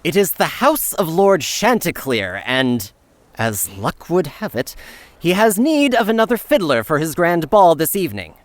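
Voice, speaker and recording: An actor playing a haughty, fussy chief steward with a pompous, formal, condescending way of talking in an audiobook. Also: male voice, a little high, very animated, measured pace, no echo. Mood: brisk, condescending. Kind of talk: audiobook character acting.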